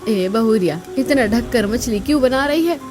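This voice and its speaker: Woman voicing a high-pitched scolding cartoon woman. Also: lively intonation, moderate pace, music in the background, close to an everyday voice. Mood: bossy, scornful. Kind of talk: Hindi cartoon story dialogue.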